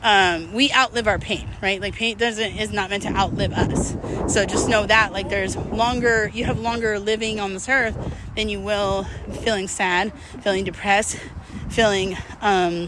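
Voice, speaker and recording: A woman's voice: medium pitch, steady tone, unhurried. A woman talking candidly and casually to her followers while out walking, rambling and self-deprecating as she gives motivational encouragement. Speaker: vlogger. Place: outdoors, on a walk. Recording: outdoor background noise, urban traffic ambience.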